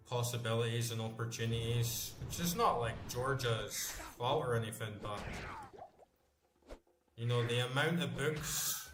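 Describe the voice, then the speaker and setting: A man's voice: medium pitch, a bit bright. Rambling man, roomy room, music behind. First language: English. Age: late 30s. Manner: rambling, loose, frequent "like" fillers and swearing. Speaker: gamer playing on stream. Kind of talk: casual chat while gaming.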